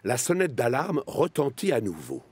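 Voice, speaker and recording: A man narrating a children's cartoon in French, with an expressive storytelling manner. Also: mid-range voice, normal speed, soft background music.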